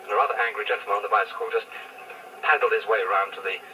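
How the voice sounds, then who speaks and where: A man's voice: fairly low pitch, steady tone, very fast, sharp tone. A man telling a personal anecdote as a radio presenter, in a distant old broadcast recording. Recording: distant, hissy archive 1960s radio recording.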